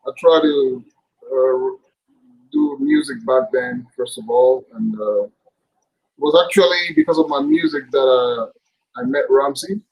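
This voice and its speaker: A middle-aged man, a guest actor chatting casually on a video call, relaxed and jokey. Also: mid-range voice, unhurried, vocal fry, muffled recording. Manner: relaxed, laughs often, jokey. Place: indoors, room with curtains. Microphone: webcam mic on video call.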